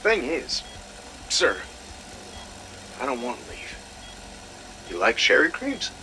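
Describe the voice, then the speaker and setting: Bright-voiced, easygoing military pilot. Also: male voice, medium pitch, huge pitch swings, slow pace, a little hiss or hum, exaggerated voice. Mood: casual, friendly. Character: easygoing, dutiful male military pilot, eager to fly. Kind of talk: dramatic game audio log dialogue.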